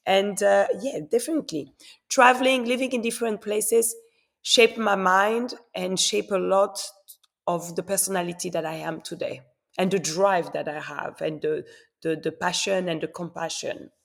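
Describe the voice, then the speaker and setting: An earnest woman guest in a podcast interview, her voice full of gravelly fry. She was born in Congo and grew up in Belgium and Switzerland. She speaks reflectively and sometimes repeats a word before going on. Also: medium pitch, fairly even intonation, normal speed. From born in Congo, raised in Belgium and Switzerland. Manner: earnest, repeats words while searching for them.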